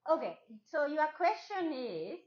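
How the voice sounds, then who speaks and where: A woman's voice: high-pitched, big pitch swings, unhurried. A Japanese woman teaching a class in English with a strong Japanese accent, asking questions and often repeating herself. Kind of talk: classroom talk with students. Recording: echoey room, dull lo-fi sound.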